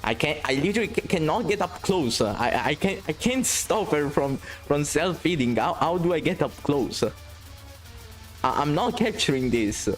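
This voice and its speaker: Excitable Italian-accented male game streamer. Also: a little high, normal speed, faint music behind. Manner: excitable, swearing, reading chat aloud. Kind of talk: casual gameplay commentary.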